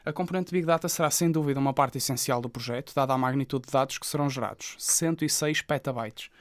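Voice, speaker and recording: Man, european portuguese accent, mid-pitched, dry close sound, casual tech news reading. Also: fast, no room sound. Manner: reads news items with chatty asides and laughs. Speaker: podcast co-host.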